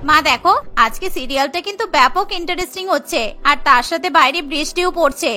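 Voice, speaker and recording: A woman's voice: sits high, quick pace, bright, forceful tone. A woman playing an idle, spoilt young sister-in-law in a cartoon, chatty and wheedling. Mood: gleeful. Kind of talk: cartoon voice acting.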